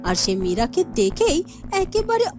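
High-pitched, animated woman storyteller. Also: moderate pace, music in the background. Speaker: storyteller, narrator. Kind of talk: scripted fairytale cartoon narration.

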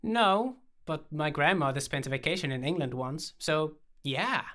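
A man voicing an excitable, childlike young man, a playful friend who speaks with theatrical fervor in an audiobook. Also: on the high side, measured pace. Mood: playfully smug. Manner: fervent, theatrical, exclaiming. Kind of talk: audiobook character dialogue.

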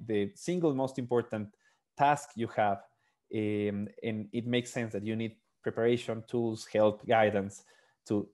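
Mellow-voiced founder from Ecuador. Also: male voice, mid-range voice. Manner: earnest, fluent with frequent fillers. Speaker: startup founder. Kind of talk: video call interview. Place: small room indoors. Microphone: earphone mic on video call.

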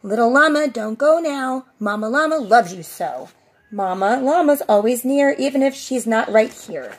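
A middle-aged woman, a preschool teacher, reading a storybook aloud to young children in an expressive, sing-song way with drawn-out phrase endings. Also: on the high side. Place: outdoors on a covered porch.

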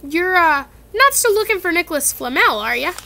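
A woman reading aloud voices a large, gruff, secretive gamekeeper who hesitates and talks in a shifty way. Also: very high-pitched, big pitch swings, moderate pace, pushed and bright, pitched above the performer's own voice, exaggerated voice.

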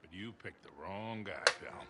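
A man's voice: low-pitched, moderate pace, heavy background noise, lightly acted. A man playing a street-smart, middle-aged American con man in a video game, wry and teasing with easy authority. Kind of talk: cinematic video game acting.

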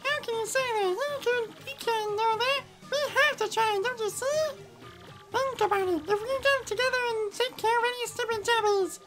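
Tiny squeaky creature boy, very high voice, eager, rallying, game music behind. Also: moderate pace, rough recording. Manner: pleading, rallying. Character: tiny brave young puni boy, eager leader.

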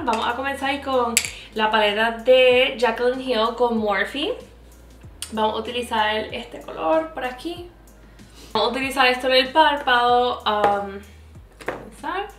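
A woman's voice: on the high side, measured pace, a bit bright, reverberant, faint music behind. A young woman from Puerto Rico with a Puerto Rican accent, chatting through a makeup tutorial and slipping English words into her speech. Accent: Puerto Rican Spanish. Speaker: makeup vlogger. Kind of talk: makeup tutorial, talking while applying. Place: small indoor room with plain backdrop.

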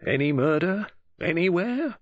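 A man voices a brusque police officer who teases and disapproves in short, clipped phrases. The voice is husky, and the recording sounds dull. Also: high voice, animated, unhurried, dry recording, pitched above the performer's own voice. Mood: sardonic.

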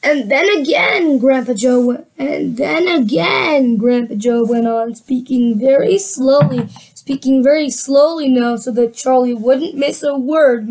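Woman, high voice, storybook read-aloud, character voices, stops to correct herself. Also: poor audio. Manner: reads with frequent restarts and chatty asides. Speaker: reader of a children's book.

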